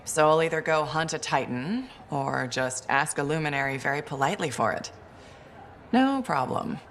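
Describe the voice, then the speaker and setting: A woman's voice: sits low, animated, normal speed, a little hiss or hum. An actress playing a capable female mercenary pilot in a video game, wry and dryly skeptical. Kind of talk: video game cutscene acting. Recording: faint background music.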